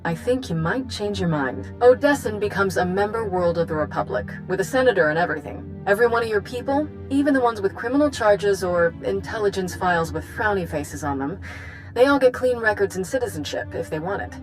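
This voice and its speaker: A woman's voice: medium pitch, expressive, a little fast, natural voice, low-quality recording. Actress playing a wry, confident woman leader, music underneath. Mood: wry, persuasive. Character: brisk, confident, wry woman chancellor of a space republic. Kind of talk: video game cutscene acting.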